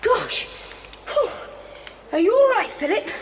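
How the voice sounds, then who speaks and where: A woman playing a plucky, practical young boy in a children's audio adventure, brisk and exclamatory as the figure takes charge. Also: very high voice, very animated, exaggerated voice. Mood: relieved, practical. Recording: noisy, reverberant old cassette dramatisation.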